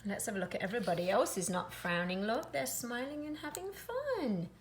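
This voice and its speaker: A woman's voice: on the high side, expressive, brisk, slight background noise. A woman co-hosting a children's storytime, commenting on picture book pages and exclaiming to young listeners.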